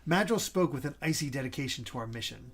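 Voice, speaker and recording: A man's voice: medium pitch, animated, fast, fairly bright tone. A man reading visual novel narration aloud like a storyteller, occasionally stumbling over the text. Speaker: gaming video co-host and narrator.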